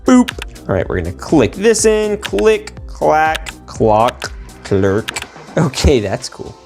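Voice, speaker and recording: A man hosts a toy-building video in a casual, excitable way. His pitch leaps around a great deal as he throws out slangy exclamations and playful asides. Music plays softly behind him. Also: slow. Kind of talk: excitable casual commentary while building toys.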